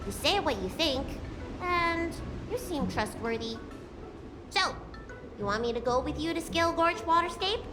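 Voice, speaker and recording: A woman voicing a cheeky, curious young girl dragon healer in a video game cutscene, with a bright girlish voice. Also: high voice, expressive, unhurried. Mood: playful. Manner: playful and teasing. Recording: game audio with loud music.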